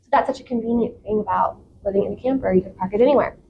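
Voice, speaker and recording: A woman talking as a podcast guest in a casual, candid and expressive way, prone to giggling. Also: medium pitch, rapid-fire, muffled recording. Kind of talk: casual chat. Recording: clear background noise.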